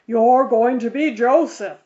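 A woman's voice: on the high side, slow pace, darkish tone. Woman as an emphatic, firm minister father. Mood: firm, sympathetic. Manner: emphatic, matter-of-fact. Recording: slightly dull lo-fi recording.

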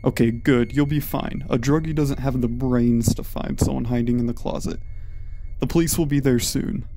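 A man's voice: medium pitch, fairly even intonation, moderate pace, creaky, dark tone. A man playing a worried young man trying to reassure his friend, earnest and subdued, in a horror story. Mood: worried, reassuring. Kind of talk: dramatised horror story reading. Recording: eerie music behind. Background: ominous background music.

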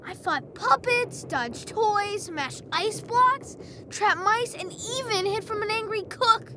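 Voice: very high-pitched, animated, takes it slowly, bright, forceful tone, full character voice. A performer voicing a brave, earnest boy in a video game, with a determined manner. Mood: confident. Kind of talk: video game character acting. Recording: train noise behind.

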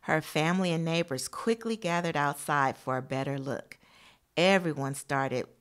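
A woman's voice: low voice, normal speed, a bit bright. A woman teacher reading a picture book aloud expressively to young children, adding encouraging asides addressed straight to them.

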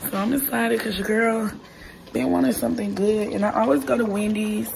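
A young woman with a hoarse, raspy voice chatting casually to viewers while she cooks in her home kitchen. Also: a little high, fairly even intonation, a little fast, darkish tone, a little hiss or hum, rough recording. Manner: chatty, talks straight to viewers. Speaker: vlogger. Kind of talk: casual cooking vlog. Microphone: phone camera held close.

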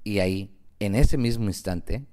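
A man's voice: fairly low pitch, a little slow, mellow. A man narrating a scripted radio novela in a dramatic storytelling style.

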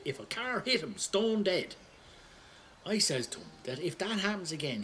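Crusty, animated older Irish father. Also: on the high side, a little hiss or hum. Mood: exasperated, fond. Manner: rambling, colloquial, salty storytelling. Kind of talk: conversational audio drama monologue.

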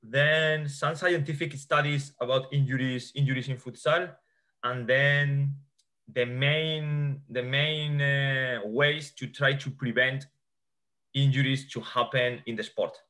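A man's voice: medium pitch, steady tone, slow pace, bright, forceful tone. A man in his thirties from Spain with a Spanish accent, explaining sport science as a guest on a video call and often repeating words as he restarts. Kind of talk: explaining a slide presentation over a video call. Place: small indoor room. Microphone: video call webcam.

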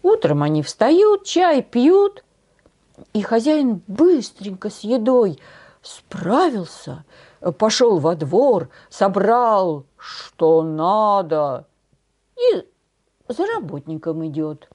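A woman in her sixties telling a folk tale to children in an expressive, grandmotherly manner with drawn-out phrases. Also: mid-range voice. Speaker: storyteller for children. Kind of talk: folk tale storytelling to camera. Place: small decorated indoor room.

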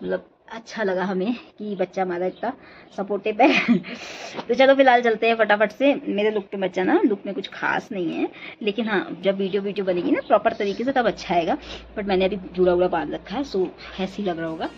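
A woman's voice: medium pitch, a little fast. A woman in her thirties chatting to viewers as a travel vlogger from inside a car on a mountain road, recorded on a phone camera. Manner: chatty, talks to viewers while touring. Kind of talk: casual travel vlog chat. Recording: dull lo-fi sound with loud road noise.

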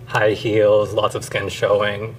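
A man in his thirties, soft-spoken and a little awkward, talking in a bright studio. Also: lowish voice, level tone, takes it slowly, distant mic, a little hiss or hum, poor audio. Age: 30s. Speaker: interview show participant. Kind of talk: casual conversation.

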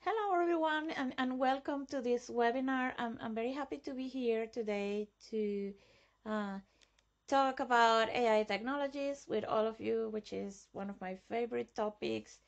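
Enthusiastic Venezuelan-born woman presenter, gravelly. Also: a little high, moderate pace, dull audio. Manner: enthusiastic, repeats words. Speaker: guest speaker presenting a webinar. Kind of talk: presenting slides.

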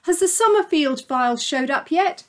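A breezy storybook office clerk who brushes things off with a shrug, as a woman reads her. She calls out high and lightly, never bothered. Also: lively intonation, normal speed, fairly bright tone.

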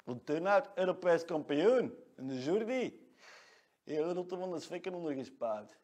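A man's voice: fairly high pitch, expressive, moderate pace, background noise, natural voice. An actor playing a cocky 22-year-old shop salesman with a Leuven Flemish accent, who boasts and talks about himself in the third person in a comedy monologue. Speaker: comic character giving weekly rundown.

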